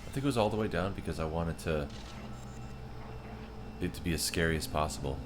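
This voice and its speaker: A man's voice: low-pitched, fairly quick, noisy background. A man in his thirties chatting casually with viewers on a live game stream and reading their messages aloud. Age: 30s. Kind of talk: casual live-stream chat while gaming.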